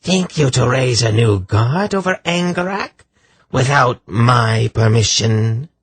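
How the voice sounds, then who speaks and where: A cold, cruel sorceress, played by a male narrator, rasping with open contempt. The pitch is lifted well up and the archaic phrases are drawn out slowly, in fantasy audiobook style. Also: expressive, dry recording. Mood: derisive. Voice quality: harsh, rasping. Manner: derisive archaic speech.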